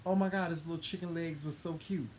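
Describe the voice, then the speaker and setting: A man's voice: a little high, fairly even intonation, fast talker. A man telling a personal story in a casual, conversational way, gushing affectionately and often circling back to repeat his phrases. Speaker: co-host telling a couple's story. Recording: clearly noisy room ambience.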